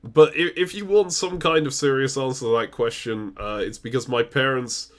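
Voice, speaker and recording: A man from the UK with a hybrid British accent and a naturally deep voice, co-hosting a casual Q&A over a remote call, chatty and laughing between answers. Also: moderate pace. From United Kingdom. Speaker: co-host. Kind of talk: casual chat. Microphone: remote call recording.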